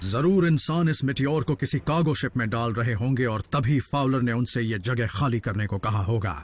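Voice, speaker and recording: An actor playing a noble, authoritative robot commander in a cartoon dub, speaking in a formal, measured way. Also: male voice, mid-range voice, slight background noise, natural voice. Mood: grave. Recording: muffled dub with faint music.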